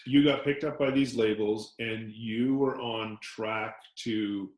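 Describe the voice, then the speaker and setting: A man hosting an interview, asking relaxed, loosely worded questions to draw out his guest. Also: fairly low pitch, takes it slowly, echoey room. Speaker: interviewer, church ministry host. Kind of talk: casual interview.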